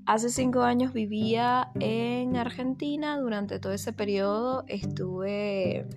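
A Venezuelan woman speaks with a fairly high voice, thinking aloud and repeating words as she goes. Guitar music plays clearly under her voice throughout. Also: fairly even intonation, takes it slowly. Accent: Venezuelan Spanish. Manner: reflective, many fillers and repeated words. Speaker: vlogger. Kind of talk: personal storytelling.

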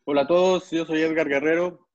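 A man's voice: on the high side, fairly even intonation, brisk, dry recording, highs cut off. A man with a Mexican accent talking casually as a guest on a group call. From Puebla, Mexico. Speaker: podcast guest. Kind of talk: casual chat.

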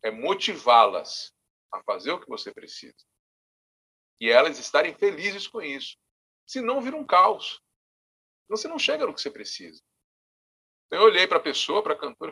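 A Bahian man from Salvador talks through his stories with a bright, slightly husky voice. His pitch sits a little high and swings widely as he narrates. He is chatty and animated, the way a musician talks in a relaxed interview. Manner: animated, often says "velho". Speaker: musician and bandleader. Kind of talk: casual interview storytelling.

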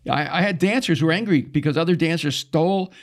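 Gravelly man from El Salvador, animated storyteller. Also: on the high side, fast talker. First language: Spanish. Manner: animated storyteller with quoted asides. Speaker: pastor and podcast guest. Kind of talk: casual conversation.

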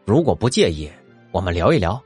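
An actor playing an earnest, expressive middle-aged police detective who loves mystery novels, in an audio drama. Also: male voice, low voice, a little fast, husky, faint music behind, heavily acted. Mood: eager. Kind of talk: multi-cast audio drama acting.

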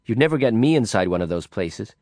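A man's voice: lowish voice, lively intonation, a little fast, a little mellow, no echo, lightly acted. A man voicing a brash, hard-drinking woman in an audiobook, blunt, profane and sneering. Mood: drunk chatter. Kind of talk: audiobook character voice.

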